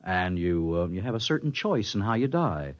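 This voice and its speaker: A man's voice: low-pitched, sing-song, moderate pace. An actor playing a morbid, needling, cynical spaceship crewman in a dramatic vintage radio style. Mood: morbid goading. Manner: goading, dramatic, swinging from languid to agitated. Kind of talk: mid-century radio drama acting. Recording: dull lo-fi old radio recording.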